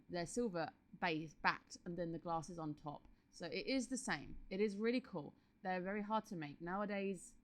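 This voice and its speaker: A woman with a stuffy cold voice, chatting casually as she reviews an anime episode and thinks out loud. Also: fairly low pitch. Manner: chatty, thinking aloud with restarts. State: congested from a cold.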